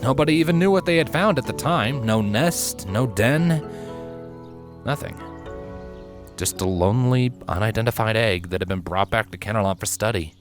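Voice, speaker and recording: A man reading scripted fantasy fan fiction aloud as a narrator, in measured, expressive storytelling. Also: on the low side. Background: background music.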